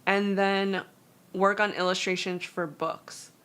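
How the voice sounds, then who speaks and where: A woman hosts a casual livestream, talking slowly and unhurriedly. Her delivery is loose and chatty, full of fillers as she reads out and translates messages. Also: medium pitch, level tone, a bit bright, clean tone. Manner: halting non-native Spanish. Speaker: stream host. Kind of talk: casual livestream art critique chat.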